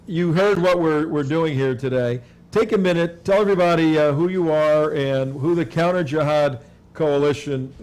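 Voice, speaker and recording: A man hosting a casual interview, prompting his guest quickly and loosely. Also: a little high, darkish tone. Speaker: show host.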